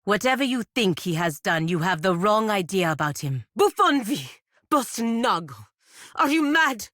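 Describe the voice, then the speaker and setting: An actress playing a proud, stern, loyal warrior envoy in a fantasy video game, speaking in a formal, deliberate, earnest manner. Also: female voice, mid-range voice, sing-song, a bit bright, no room sound, clean studio sound. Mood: firm, formal, then furious. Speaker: game character voice actor. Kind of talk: scripted fantasy game dialogue.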